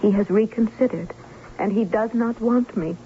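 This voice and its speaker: An actress playing an anxious, wistful young bride-to-be, speaking in a measured, melodramatic way in an old-time radio drama. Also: female voice, medium pitch, a little hiss or hum, close to an everyday voice. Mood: despairing. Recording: thin phone-like old radio recording.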